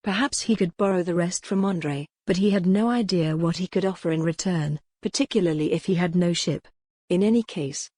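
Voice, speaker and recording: Female audiobook narrator, lowish pitch, measured dramatic storytelling, very dry recording. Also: warm tone, no room sound. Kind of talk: scripted dramatic fiction narration.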